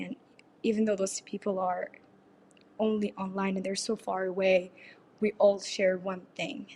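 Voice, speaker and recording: A teenage girl leaving an earnest, halting voicemail about her personal path, with soft pauses. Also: mid-range voice, a little hiss or hum. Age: teens. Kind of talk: personal testimony.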